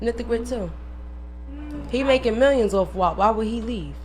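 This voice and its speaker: A woman's voice: a little high, moderate pace, a little mellow. Blunt, slangy woman over noticeable background noise. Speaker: interview guest. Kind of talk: casual radio interview chat. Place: radio studio. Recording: noisy radio studio recording.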